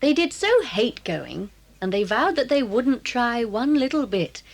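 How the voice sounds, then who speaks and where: A woman playing a calm, kindly mother of schoolgirls in a children's audio drama. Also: a little high, a little slow, full character voice. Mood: kindly, reassuring.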